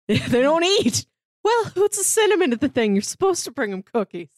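A woman's voice: sits high, sing-song, moderate pace, dry recording, clean studio sound. A woman co-hosting a podcast, discussing a book in a casual, chatty way. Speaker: podcast co-host.

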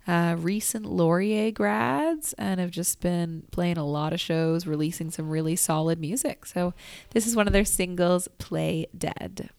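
A woman's voice: on the low side, measured pace, gravelly, darkish tone, dry recording. A woman hosting a radio show in a casual, upbeat and appreciative chatty style. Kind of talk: casual chat.

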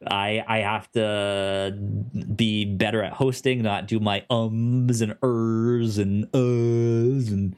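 A man hosting a podcast in casual chat, who stutters on first sounds and thinks out loud with many fillers. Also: lowish voice, little pitch movement, slow, few pauses. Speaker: podcast host.

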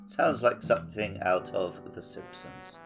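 Man, lowish pitch, creaky gravelly voice, podcast co-host, dry joking, casual game chat. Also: wildly swooping pitch, unhurried, over music. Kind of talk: casual banter about a video game.

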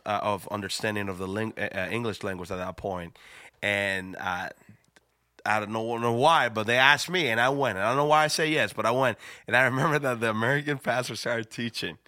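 A man, a pastor co-hosting a casual talk show, who speaks haltingly and repeats words as he goes. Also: on the low side, pushed and bright, no room sound, clean studio sound. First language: Spanish. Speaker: pastor, co-host. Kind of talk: casual podcast chat.

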